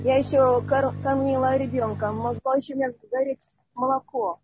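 A woman in her forties calling in to a live online session, telling a personal story haltingly with many broken-off words. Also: high-pitched, fairly even intonation, lo-fi sound, background noise. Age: 40s. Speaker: caller.

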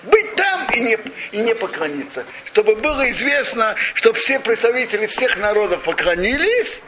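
A man with a notably high voice teaches a scriptural lesson, retelling the story animatedly and posing rhetorical questions. He often stops and restarts his sentences. Clear background noise runs beneath the recording. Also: very animated, normal speed, sharp tone. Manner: frequent restarts and self-corrections. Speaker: religious teacher. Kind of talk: Torah lesson retelling a midrash.

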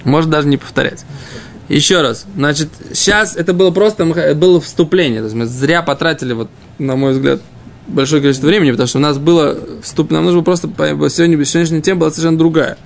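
A man with a fairly high voice and heavy creak leads an informal Talmud class. He reads out phrases and explains them, often repeating himself as he searches for the next words. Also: fast talker, slight background noise. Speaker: Talmud teacher. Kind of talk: informal Talmud lesson, reading and explaining text.

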